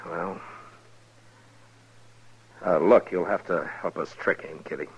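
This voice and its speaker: An actor playing a stern, steady frontier marshal in the style of a 1950s radio western. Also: male voice, sits low, slow, slightly rough, heavily acted. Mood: firm, grave. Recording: dull lo-fi old radio broadcast, some music.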